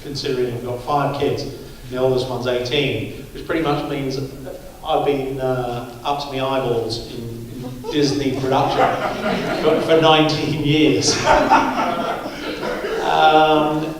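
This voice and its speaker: Wry rambling film director guy at a press conference, room echo, distant mic. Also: mid-range voice. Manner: wry, laughs easily, loose and rambling. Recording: echoey room, mic from afar.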